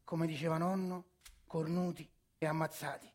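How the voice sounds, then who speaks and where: A man's voice: on the high side, little pitch movement, normal speed, darkish tone, close to an everyday voice. An actor playing a weary, earnest working-class Roman family man, confiding his grievances in a colloquial theatrical monologue. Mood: confiding, aggrieved. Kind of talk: theatrical first-person monologue.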